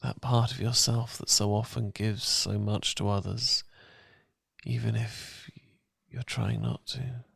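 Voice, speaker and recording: A British man speaks as a tender, reassuring boyfriend in a romantic ASMR meditation. His voice is very gravelly and husky, softened almost to a whisper. He takes his time, unhurried and soothing, close to the mic in a dry space. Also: on the low side, no room sound. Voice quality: soft, breathy, gravelly, partly whispered. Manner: gentle, calming, intimate, unhurried. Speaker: ASMR roleplay performer. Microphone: close, dry ASMR miking.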